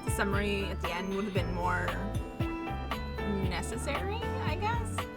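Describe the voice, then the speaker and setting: A young woman hosting a let's play, chatting excitedly while she loses her voice a little. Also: medium pitch, moderate pace. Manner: chatty and excitable, thinking aloud. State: slightly hoarse, losing her voice. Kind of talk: casual gaming commentary. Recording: voice over loud game music.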